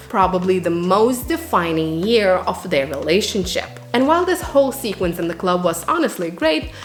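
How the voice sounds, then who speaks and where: A woman's voice: mid-range voice, fairly bright tone. A woman giving an earnest, opinionated book review with emphatic phrasing, in the manner of a reviewer speaking to followers. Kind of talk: dramatic spoken book review. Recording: echoey room with music underneath. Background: background music.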